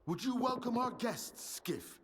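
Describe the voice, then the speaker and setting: A man playing a self-assured faction leader in a game, speaking in a measured, authoritative way. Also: extremely high pitch, lively intonation. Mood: measured, persuasive. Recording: clearly noisy background, clicks.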